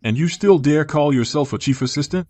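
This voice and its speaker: A man's voice: fairly high pitch, fairly quick, darkish tone, faint music behind, natural voice. Man acting mocking, exasperated bachelor friend. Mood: teasing.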